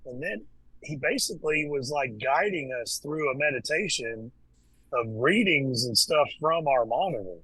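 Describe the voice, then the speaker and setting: A man talking as a guest in a casual conversation, relaxed and hesitant, with many fillers and restarts. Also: mid-range voice, moderate pace, husky, no room sound, poor audio. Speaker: podcast guest, Freemason.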